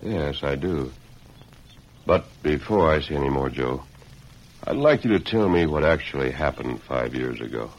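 An actor playing a calm, authoritative masked hero in an old radio western, husky and measured in his delivery. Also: heavily acted. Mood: calm, earnest. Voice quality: very deep, husky. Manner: measured, earnest, commanding. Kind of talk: 1940s-50s radio western acting. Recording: dull lo-fi old radio recording.

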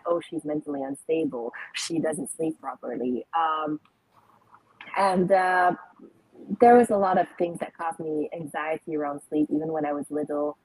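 A young adult woman from Lebanon, telling her life story as a podcast guest in a reflective way, pausing often with ums. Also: deep voice, darkish tone, slightly rough, no room sound, low-quality recording. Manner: reflective, frequent ums and pauses. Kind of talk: personal storytelling interview.

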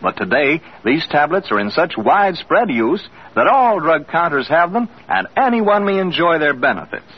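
A male mid-century radio announcer delivers a polished, emphatic sales read. His voice is high and very animated, on a muffled broadcast recording. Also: moderate pace, hardly any pauses, slightly rough. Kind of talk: 1940s-50s radio ad announcing.

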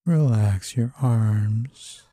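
A man with a very mellow, dark voice leads a guided sleep meditation. He speaks slowly and soothingly, with a soft husky edge, giving calm instructions. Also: on the low side, no echo, studio quality.

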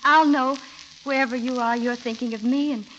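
An actress playing a tender, hopeful young bride in a 1940s radio comedy, earnest and consoling in manner. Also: female voice, sits high, steady tone, moderate pace, a bit bright. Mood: romantic. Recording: muffled, noisy vintage radio recording.

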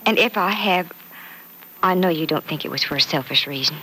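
An actress playing a modest, polite young fiancée in an old radio soap, earnest and a little hesitant. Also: female voice, deep voice, brisk, sharp tone, rough recording. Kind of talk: 1940s radio soap opera acting. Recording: old radio recording, faint hiss.

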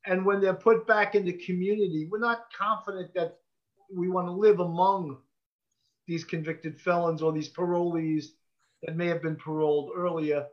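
A man's voice: high voice, little pitch movement, moderate pace, highs cut off. A police officer in his sixties speaking earnestly and bluntly in a casual conversation over a video call. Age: 60s.

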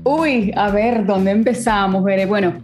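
A woman in her forties from Ecuador, a podcast guest telling stories in a relaxed, chatty way. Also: medium pitch, measured pace, soft background music. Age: 40s. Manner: warm storytelling with fillers. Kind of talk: casual chat.

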